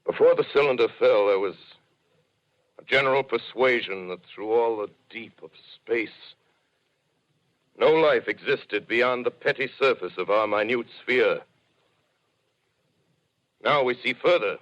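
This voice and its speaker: An actor playing a solemn, reflective scholar who survived an invasion, in grave theatrical phrasing for a 1930s radio drama. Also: male voice, mid-range voice, measured pace, pauses often, close to an everyday voice. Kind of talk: 1930s radio drama monologue, literary narration. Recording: muffled old 1930s radio broadcast.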